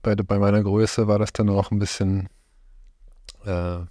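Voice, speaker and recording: A middle-aged man from Germany, interviewed about his life, speaks in a relaxed, conversational way, often hesitating and searching for his words. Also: on the low side, moderate pace, no room sound, studio quality. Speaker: interviewee, farm host.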